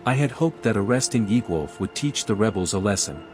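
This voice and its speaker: A man playing a resolute imperial envoy hero in a video game, terse and measured. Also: fairly low pitch, expressive, darkish tone, lightly acted. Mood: cool, composed. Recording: clear background game music.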